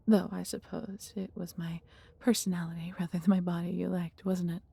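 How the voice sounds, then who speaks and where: A female dragon , acted by a woman speaks in a fantasy audio roleplay, fiercely protective and full of affection. The voice is heavily breathy and husky, on the low side, with a dark, soft tone. The delivery is theatrical yet intimate, in formal storybook phrasing. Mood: tender, intimate.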